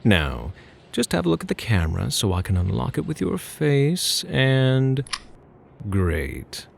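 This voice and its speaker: Casting: male actor for playful, smug gentleman thief, ASMR roleplay; gravelly, very animated delivery, teasing, flirty, mock-courteous. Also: medium pitch, slow pace, slight background noise, heavily acted. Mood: smug, mock-caring. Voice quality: husky, gravelly. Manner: teasing, mock-polite, theatrical.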